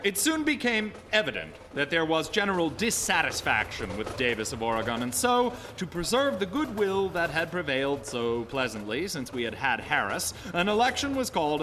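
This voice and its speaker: A man's voice: on the high side, fairly bright tone. An actor playing a genial, courtly, mad congressman telling a grisly tale earnestly in a live radio play. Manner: courtly, formal, fond, theatrical storytelling. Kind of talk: live radio drama acting. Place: theater stage before a live audience. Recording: reverberant live theater stage, audible noise.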